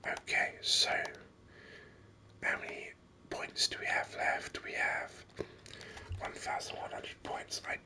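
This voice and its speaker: A man whispering ASMR game commentary in a breathy, unhurried way, thinking aloud close to the microphone. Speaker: gaming video host.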